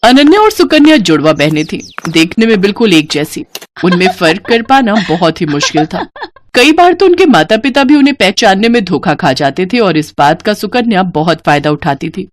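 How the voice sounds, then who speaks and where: A woman narrating a scripted moral bedtime story in an expressive storytelling manner. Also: medium pitch, moderate pace, slight background noise.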